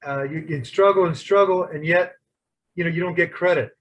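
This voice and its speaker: A man leading a church Bible study discussion, talking in a halting way with many restarts. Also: on the high side, fairly bright tone, highs cut off. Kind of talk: casual group discussion.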